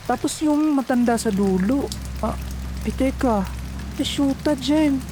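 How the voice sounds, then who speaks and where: A woman's voice: high voice, unhurried, mellow, slight background noise. A woman with a Filipino accent playing a chatty, nervous young call center agent in an audio horror drama, with stammering, colloquial speech.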